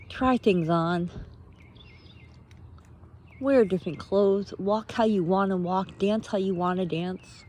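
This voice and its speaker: Slow-talking woman with a mellow voice. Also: mid-range voice. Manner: earnest, halting short phrases, rhetorical questions. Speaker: motivational speaker, vlogger. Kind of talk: unscripted spiritual pep talk.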